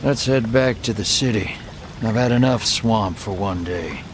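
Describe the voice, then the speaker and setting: A man playing an aloof, fastidious, mercenary Old West doctor in a video game, dry and disdainful. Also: fairly low pitch, background noise, lightly acted.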